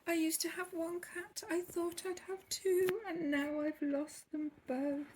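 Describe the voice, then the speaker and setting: A woman's voice: extremely high pitch, little pitch movement, darkish tone, slight background noise, voice pushed up. A woman voicing a tender, plaintive female cat owner in a children's storybook. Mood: sad, plaintive. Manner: plaintive, slow phrasing. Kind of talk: storybook character voice.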